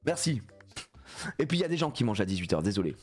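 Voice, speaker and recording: A man's voice: lowish voice, fast talker, breathy edge, faint music behind. Sniffly male streamer, jokey and swearing. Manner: animated, jokey, swears a lot, reads chat aloud. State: congested with a cold. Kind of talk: live gameplay stream chatting with viewers.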